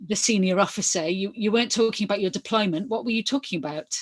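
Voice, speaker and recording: A woman reading the part of an inquiry lawyer, putting formal questions in a measured, methodical way. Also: mid-range voice, lightly acted. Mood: measured, probing. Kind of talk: verbatim reading of hearing questions.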